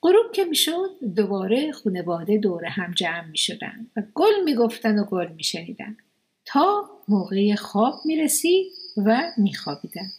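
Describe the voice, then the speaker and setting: A woman narrating a children's radio play in an animated storybook style. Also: medium pitch, breathy edge, poor audio. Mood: storytelling. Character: lively female storyteller of a fairy tale.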